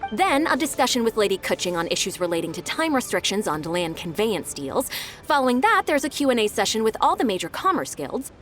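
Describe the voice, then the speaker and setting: A woman playing a diligent, eager young secretary in a video game, brisk and polite as she methodically explains things. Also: medium pitch, very animated, fairly bright tone, soft background music. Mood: brisk, earnest.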